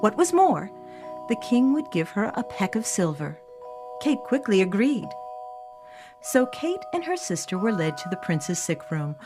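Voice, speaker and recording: A woman narrating a scripted fairy tale for children in an expressive storybook delivery. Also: medium pitch.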